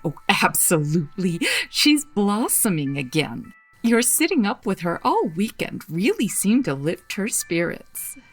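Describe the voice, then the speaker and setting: An actress plays a genteel elderly woman in a comedy audio drama. Her creaky voice rises and falls in a polite, hesitant, confiding way, with light music underneath. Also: medium pitch, sing-song, measured pace, exaggerated voice. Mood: friendly, earnest. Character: genteel old lady with violets, earnest and gentle.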